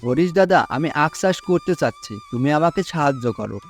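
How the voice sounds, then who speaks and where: A poor, gullible farmer from a cartoon folk tale. His voice sits fairly high, and he talks in an earnest, humble, deferential way, with music playing underneath. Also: expressive, brisk, slightly rough. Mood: humble, asking. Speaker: cartoon voice actor.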